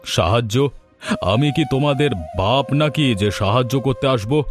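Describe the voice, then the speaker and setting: A man's voice: mid-range voice, a little slow, faint music behind, lightly acted. A man voicing a greedy, cruel village headman in a cartoon, harsh and scornful. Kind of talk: cartoon voice acting.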